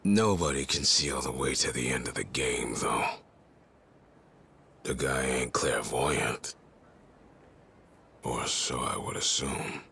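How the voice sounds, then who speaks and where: An actor playing a cocky gangster rival in a video game cutscene, talking low and mocking in a husky half-whisper. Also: male voice, slow pace, pauses often, sharp tone, a little hiss or hum, exaggerated voice. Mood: taunting. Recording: game audio with music behind.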